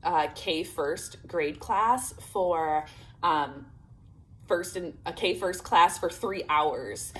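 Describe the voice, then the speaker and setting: A woman's voice: fairly low pitch, very slow, a bit bright, low-quality recording. Chatty woman with a sore throat. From Illinois, United States. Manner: chatty, filler-heavy, upbeat. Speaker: homeschool vlogger. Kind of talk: casual chat to camera.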